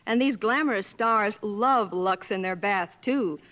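A woman's voice: mid-range voice, measured pace, a bit bright. A woman commentator doing scripted promotional chat on 1950s radio in a gushing, polished way. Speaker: guest Hollywood commentator. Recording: dull vintage 1950s radio broadcast.

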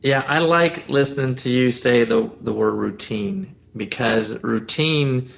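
A man who works as a coach, guest on a podcast, asking long, thoughtful questions at an unhurried pace. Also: mid-range voice, gravelly, a bit bright. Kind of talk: casual podcast conversation.

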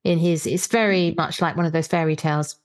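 A woman's voice: sits low, steady tone, a little fast. A woman hosting a chatty book discussion in a playful, enthusiastic manner. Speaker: podcast host and interviewer. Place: remote call.

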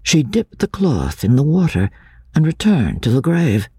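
A man narrating classic literature dramatically, in a theatrical and measured manner. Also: a little high, wildly swooping pitch, mellow, breathy edge, dry recording, clean studio sound. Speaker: audiobook narrator.

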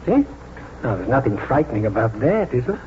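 An actor playing a kindly, weary old British country doctor in 1940s radio drama, speaking in a gentle, measured, reflective way. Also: male voice, mid-range voice, very animated, a little hiss or hum, close to an everyday voice. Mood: gentle, coaxing. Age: older. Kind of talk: 1940s radio drama acting, first-person narration. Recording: muffled 1940s radio broadcast, music behind.

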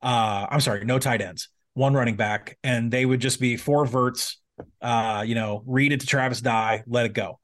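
A man's voice: mid-range voice, steady tone, fairly bright tone. Man breaking down football matchups. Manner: fast, analytical football breakdown with fillers. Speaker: sports betting podcast co-host. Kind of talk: casual betting analysis chat.

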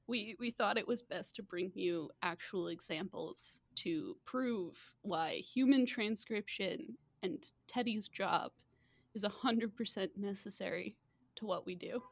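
A woman's voice: mid-range voice, vocal fry, dry recording. A woman hosting a podcast in casual, chatty banter, often giggling as she talks. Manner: giggly, laughs often while talking. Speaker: podcast host.

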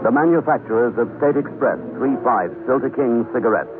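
A man's voice: mid-range voice, brisk. A man announcing for a 1960s radio sponsor with dramatic, polished salesmanship. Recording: tinny band-limited old radio recording. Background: music.

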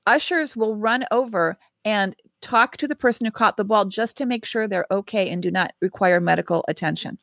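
A woman's voice: medium pitch, moderate pace, few pauses, a bit bright, no echo, clean studio sound. A woman co-hosting a podcast, telling stories in casual chat with wry asides. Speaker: podcast co-host.

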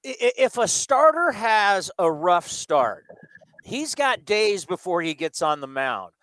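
A man's voice: high voice, very animated, measured pace, a bit bright, no room sound, low-quality recording. A male radio broadcaster interviewing a coach from a stadium press box, chatty and enthusiastic.

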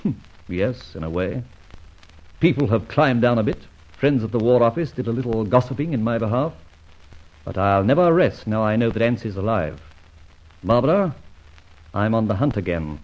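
An actor playing a disgraced army officer turned adventurer in an old radio drama, with theatrical, old-fashioned gentlemanly phrasing and a husky voice. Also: male voice, lowish voice, animated, normal speed, long pauses, lightly acted. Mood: brooding, resolute. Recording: dull, lo-fi old radio recording.

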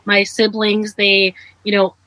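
Bright-voiced young woman, chatty guest. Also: medium pitch, slow pace, dry recording, low-quality recording. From Nigeria, raised in Ohio. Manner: relaxed, chatty, laughs easily, many fillers. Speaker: guest, social justice advocate. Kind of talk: casual interview.

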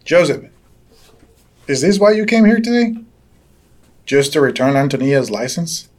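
An actor playing an older farm patriarch in an audio drama, courteous but probing, telling things in a folksy, unhurried way. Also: male voice, fairly high pitch, very animated. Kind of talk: naturalistic audio drama dialogue.

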